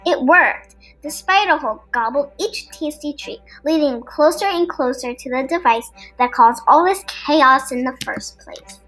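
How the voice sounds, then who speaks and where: A woman's voice: high voice, lively intonation, a little slow, fairly bright tone, rough recording. A woman reading a scripted children's superhero-style story aloud as a narrator. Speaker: storybook reader for a podcast. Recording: music behind the voice. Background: background music.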